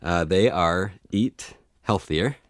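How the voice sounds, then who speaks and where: A man's voice: low voice, slow, no echo, studio quality. A middle-aged man with an American accent co-hosting a talk show in a casual, conversational, agreeable way. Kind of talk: casual chat.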